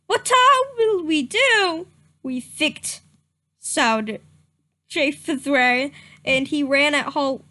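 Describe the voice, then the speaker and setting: A woman's voice: sits high, fairly bright tone. A young woman streaming a comedic dramatic reading of fan fiction, giggling and stumbling over misread words.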